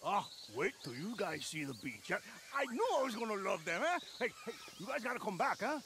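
Swooping high-voiced hedonistic party host. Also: male voice, very animated, fairly quick, noisy background. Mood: hyped, boastful. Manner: effusive, swaggering, ends phrases with "huh". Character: volatile, hedonistic cartel-heir party host, jovial yet menacing. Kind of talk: video game cutscene acting.

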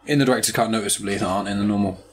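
A man's voice: fairly low pitch, fairly even intonation, very fast, a little hiss or hum. A British man in his twenties hosting a casual film review podcast, rambling and correcting himself as he goes. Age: 20s. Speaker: podcast host.

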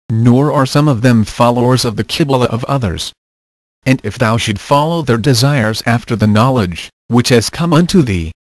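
Man reading a measured, dry voice-over. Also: medium pitch, no echo. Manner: measured reading with quoted scripture. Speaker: narrator. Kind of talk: scripted narration over slides.

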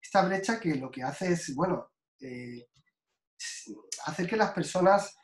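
A man from Spain giving a slow, deliberate guest lecture over a video call, with many fillers and restarts. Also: sits high, animated, vocal fry, echoey room.

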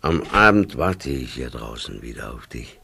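A man playing a gruff old Alpine grandfather in a radio play, terse, with a deep gravelly voice. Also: very animated, bright, forceful tone, a little hiss or hum, full character voice. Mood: calm. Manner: slow, terse.

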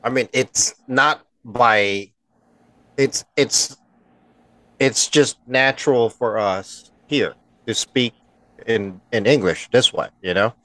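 A man's voice: medium pitch, takes it slowly, fairly bright tone, no room sound, poor audio. A man born in the Philippines, co-hosting a casual podcast over a video call, blunt and teasing. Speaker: podcast co-host. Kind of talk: casual chat. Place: bright home room, indoors. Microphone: headset and studio mic on video call.

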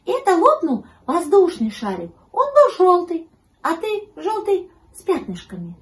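A woman's voice: very high voice, wildly swooping pitch, a little slow, voice pushed up, exaggerated voice. A woman voicing a small, friendly male kitten in a children's storybook, childlike, sensible and reassuring. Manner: childlike, matter-of-fact.

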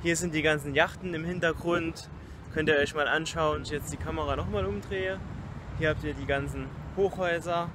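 A man's voice: fairly high pitch, steady tone, fairly quick. A young man from Germany talking casually to the camera as a travel vlogger, outdoors at arm's length from the mic. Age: young adult. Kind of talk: casual vlog talk to camera. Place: outdoors on a sunny waterfront promenade. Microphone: camera or phone at arm's length. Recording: noisy outdoor recording, city and traffic ambience.

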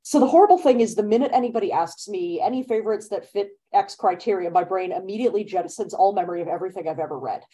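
Mellow-voiced woman chatting on a webcam. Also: mid-range voice, fast talker, few pauses, slightly rough, poor audio. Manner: lively, laughing, speeds up when animated. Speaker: author and event moderator. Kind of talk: casual conversation on a video call. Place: bedroom at home. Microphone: laptop webcam mic on video call.

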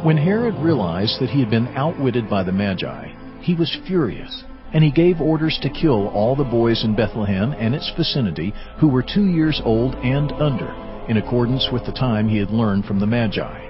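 A man narrating a dramatized Bible in a measured, formal scripted style. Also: mid-range voice, animated. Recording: slightly dull audio over music.

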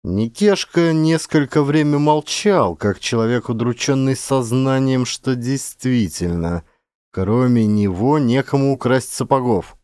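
A man's voice: mid-range voice, lively intonation, measured pace, darkish tone, smooth, dry recording, studio quality. A man narrating a literary story in a dramatic, scripted style. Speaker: audiobook narrator, actor.

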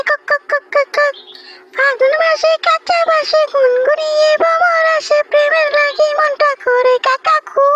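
A performer voicing a small, sweet, cheeky cartoon parrot with a squeaky, childlike voice. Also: pushed and bright, no echo, highs cut off, heavily acted. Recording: music behind the voice.

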